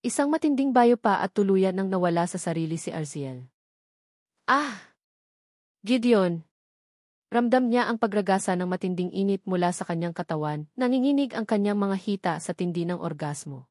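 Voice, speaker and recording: A woman's voice: medium pitch, animated, a little fast, warm tone, no echo, studio quality. Female audiobook-style narrator, scripted dramatic delivery, average register, no room sound. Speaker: story narrator.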